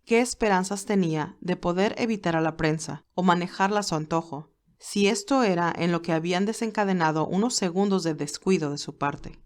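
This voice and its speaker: A woman's voice: lowish voice, brisk. A woman narrator reading a scripted story.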